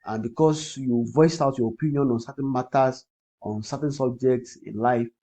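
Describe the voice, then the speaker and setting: A man with a Nigerian accent, a native Yoruba speaker, hosting a casual crypto livestream in a husky voice, repeating phrases and often checking in with "okay". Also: mid-range voice, fairly even intonation, moderate pace, warm tone. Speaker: crypto trading livestream host. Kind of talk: casual livestream commentary, answering comments.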